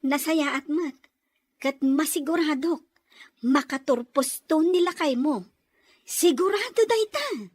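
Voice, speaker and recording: Woman acting chatty supportive best friend type, high voice, dry close sound, audio drama. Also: expressive, slow pace, pauses often, no echo. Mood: encouraging. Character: chatty, supportive young female office friend.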